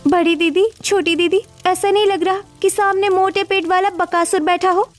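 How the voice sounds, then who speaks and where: A woman plays a young daughter-in-law in a poor cartoon household. She is exasperated and indignant, complaining in a very high-pitched voice over music. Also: fairly even intonation, moderate pace. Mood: grumbling, mocking.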